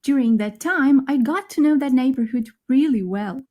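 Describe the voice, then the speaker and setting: A young woman speaking her part in a scripted conversation for English learners, clear and friendly. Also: high-pitched, moderate pace, mellow. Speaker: speaker in a recorded dialogue.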